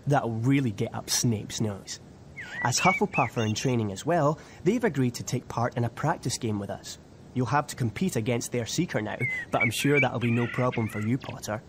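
An actor playing a keen, upbeat British teenage team captain in a video game, coaching heartily. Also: male voice, mid-range voice, normal speed, noisy background, close to an everyday voice. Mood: pleased, encouraging. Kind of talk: video game cutscene acting.

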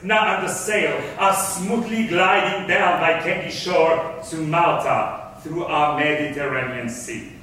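An actor playing a scheming, self-satisfied rich merchant in a staged verse drama, declaiming theatrically with big operatic projection and savoring each phrase. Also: male voice, sits high, bright, forceful tone, slight background noise, full character voice. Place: stage of an auditorium. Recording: echoey concert hall from far off.

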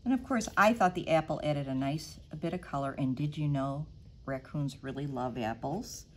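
A middle-aged woman, a children's librarian, reading a storybook aloud with animated sound effects and then walking kids through a craft, recorded on a lapel mic in a library room. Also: sits low, normal speed, slight background noise.

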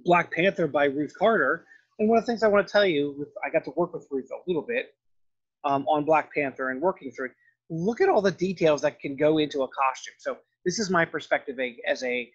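Male lecturer, informal and enthusiastic, voice a little high and rough-edged, lo-fi voice-over. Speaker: film and art history professor. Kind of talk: informal narrated slide lecture. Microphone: voice-over on slides. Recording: dull, lo-fi voice-over recording.

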